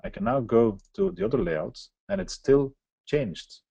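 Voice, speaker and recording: Man for a webinar software walkthrough; explanatory, mellow tone, dry close sound. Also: mid-range voice, sing-song, a little slow, gravelly, husky, no echo, muffled recording. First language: Dutch. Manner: explanatory, walks through on-screen steps. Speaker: software presenter. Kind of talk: live software demo webinar.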